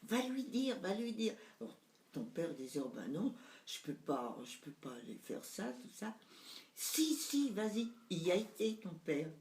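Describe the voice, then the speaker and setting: A woman's voice: medium pitch, big pitch swings, moderate pace, a little mellow, echoey room, slight background noise. A woman in her nineties, recounting family memories to her son in casual conversation, hesitant and searching for words. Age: 90s. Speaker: mother recalling family memories.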